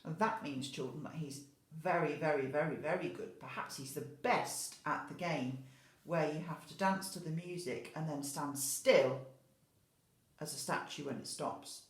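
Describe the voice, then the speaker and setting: A woman's voice: deep voice, measured pace. A woman teacher reading a picture book expressively to young children, addressing them directly with questions and asides. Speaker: infant school teacher reading a story. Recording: roomy, echoey indoor sound.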